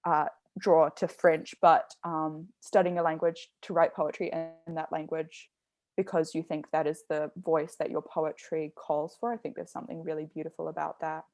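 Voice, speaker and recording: A woman's voice: deep voice, flat delivery, moderate pace, a little mellow, no room sound, faint music behind. A young adult woman, an artist, talking reflectively and explaining her ideas with care in a conversation. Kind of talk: thoughtful conversational talk.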